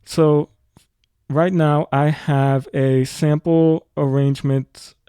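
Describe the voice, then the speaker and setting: Music production tutor, male, casual explainer. Soft-edged, mellow tone, dry recording. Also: fairly high pitch, little pitch movement, slow pace, smooth, no echo.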